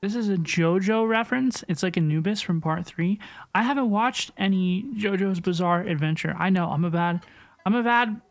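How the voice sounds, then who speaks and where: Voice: medium pitch, normal speed. A livestreamer chatting casually with viewers while playing a video game, rambling and reacting aloud in slang. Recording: game music behind the voice. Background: video game music and dialogue.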